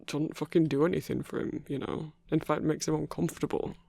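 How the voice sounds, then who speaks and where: Man playing a gravelly, soft-spoken grumpy werewolf boyfriend. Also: fairly high pitch, flat delivery, no echo, clean studio sound. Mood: earnest, hesitant. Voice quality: gravelly, husky, breathy. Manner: halting, sweary, hushed rambling with sighs. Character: grumpy but tender male werewolf boyfriend, gruff and sweary. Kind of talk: intimate ASMR roleplay monologue.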